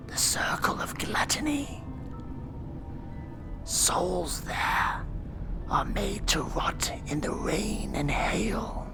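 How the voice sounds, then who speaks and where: A performer whispering as a dry, weary demon judge of hell who instructs a trainee in a measured, deadpan horror ASMR role-play. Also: medium pitch. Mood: deadpan warning. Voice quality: breathy creaky whisper. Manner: slow, measured, deliberate, deadpan. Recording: music behind close whispered voice. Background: music.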